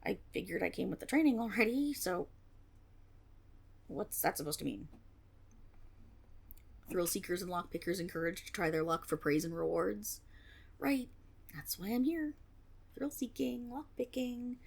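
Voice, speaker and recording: A woman's voice: medium pitch, moderate pace, vocal fry, pauses often, husky. A woman playing a flustered young tiefling rogue in a fantasy audio roleplay, stammering and blustering, cocky on the surface but unsure underneath. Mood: defensive, puzzled. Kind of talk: fantasy roleplay audio drama, one-sided dialogue.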